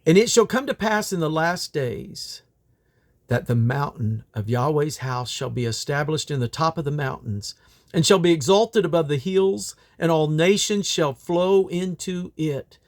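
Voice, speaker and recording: A man's voice: a little high, lively intonation, unhurried. A man reading Bible scripture aloud in old King James English, in a solemn, declamatory manner. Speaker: Bible reader.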